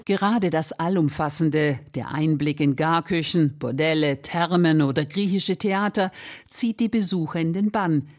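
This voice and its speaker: Female feature reporter, low voice, measured scripted narration. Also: a little mellow. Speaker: radio reporter.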